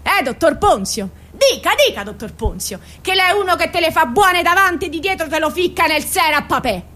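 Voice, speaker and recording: A woman's voice: very high voice, lively intonation, brisk, pushed and bright, full character voice. An actress playing a brash, aggrieved working-class mother in a theatrical radio drama, complaining and pleading in colloquial, insistent repetitions. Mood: pleading, accusing. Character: brash, aggrieved working-class Italian mother defending her arrested son.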